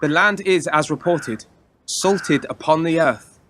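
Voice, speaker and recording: An actor playing a dutiful, wary young son of a lord in a radio play, with formal archaic speech. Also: male voice, a little high, slow, a bit bright, a little hiss or hum.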